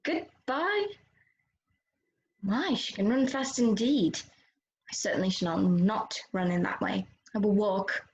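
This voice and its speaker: A woman's voice: medium pitch, slow, pauses often, roomy sound, highs cut off. A woman playing an earnest, polite, puzzled young girl in a children's readers' theater performance. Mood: resolute. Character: lost, polite but questioning young girl.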